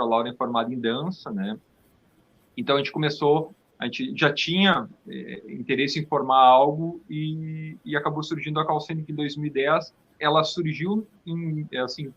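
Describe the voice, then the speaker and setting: A man's voice: a little high, fairly even intonation, normal speed, fairly bright tone, lo-fi sound. A man with a southern Brazilian accent from Rio Grande do Sul, talking casually as an interview guest and often repeating words as his thoughts form. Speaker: theatre company founder, interview guest.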